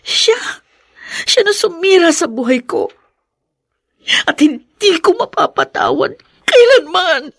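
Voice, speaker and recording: A woman's voice: extremely high pitch, big pitch swings, slow, long pauses, no room sound, studio quality, exaggerated voice. A woman acting a troubled young wife hiding bitter old pain in a Tagalog radio drama. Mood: bitter, anguished.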